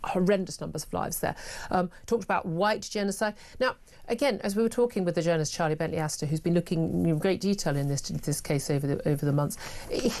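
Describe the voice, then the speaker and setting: A woman's voice: on the low side, fast, a little mellow. A woman hosting a talk radio show, talking in an emphatic, indignant way with many restarts. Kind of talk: opinionated talk radio discussion.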